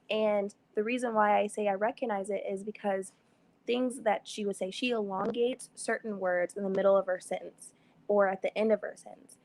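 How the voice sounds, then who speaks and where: A woman talks casually on a live panel call, with a gravelly, fry-laden edge to her voice. She explains her point at length and keeps acting out what she was thinking. Her pitch sits in the ordinary middle range. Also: darkish tone, low-quality recording. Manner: chatty, explains at length, sprinkles in quoted thoughts. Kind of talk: casual live-stream chat.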